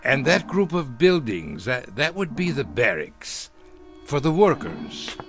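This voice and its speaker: Smug, gravelly former officer, coyly probing. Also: male voice, mid-range voice, big pitch swings, unhurried, fairly bright tone, faint music behind. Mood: smug, nostalgic. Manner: coy, falsely casual, hesitant probing. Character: smug middle-aged German former Nazi officer posing as tourist. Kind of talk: radio drama acting.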